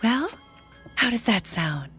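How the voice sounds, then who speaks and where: Overbearing, disapproving aunt. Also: female voice, a little high, sing-song, slow, fairly bright tone, husky, exaggerated voice. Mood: cloying, persuasive. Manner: insistent, haughty, melodramatic. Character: overbearing, disapproving aunt fussing over bereaved children. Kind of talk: radio drama acting. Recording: studio radio play with music underneath.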